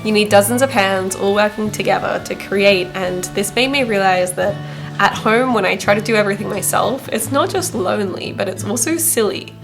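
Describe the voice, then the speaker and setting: A woman's voice: mid-range voice, moderate pace, creaky, music in the background. A woman narrating a vlog in a scripted voice-over, reflective and sincere. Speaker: vlogger, narrator.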